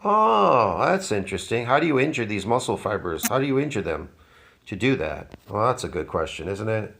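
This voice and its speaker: Sarcastic low-voiced man in his fifties. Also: sing-song, normal speed, creaky, fairly bright tone, slight background noise. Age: 50s. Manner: mocking, sarcastic, jumps in pitch. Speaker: YouTube fitness commentator. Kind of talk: reaction commentary.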